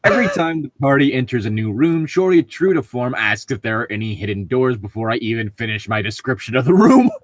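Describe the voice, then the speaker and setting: A man co-hosts a podcast in a casual, chatty way and reads stories aloud. His pitch moves around a lot. The recording is very dry. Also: animated, brisk, few pauses, no echo. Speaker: podcast host reading stories. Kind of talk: casual chat and story reading.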